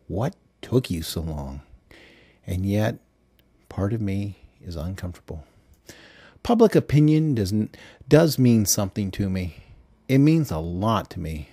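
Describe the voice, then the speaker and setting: A man's voice: fairly low pitch, dark tone, slightly rough, dry recording, clean studio sound, heavily acted. A man narrating an audiobook as a self-absorbed male government agent, giving a rambling, self-justifying confessional monologue.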